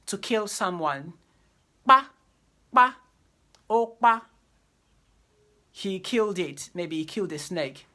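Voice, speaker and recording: A woman giving a lecture on teaching diction, repeating key phrases and slowing down to stress her points. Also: fairly low pitch, pushed and bright.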